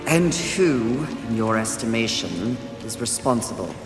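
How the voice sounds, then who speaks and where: A woman's voice: extremely low pitch, big pitch swings, measured pace, reverberant, in a large hall, music in the background, heavily acted. An actress playing a haughty, fierce female rider of the apocalypse in a video game, curt and imperious. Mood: skeptical, scornful. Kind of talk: dramatic video game acting.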